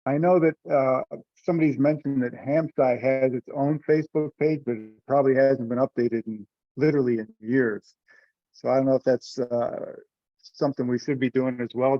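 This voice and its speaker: A man informally chairing a group teleconference, talking in a halting, rambling way. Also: medium pitch, no room sound. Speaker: meeting chair. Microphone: teleconference call. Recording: dull, lo-fi conference call audio.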